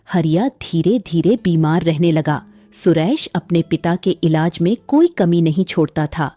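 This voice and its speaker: A woman's voice: on the low side, warm tone, no echo. A woman reading a scripted moral story as a dramatic narrator.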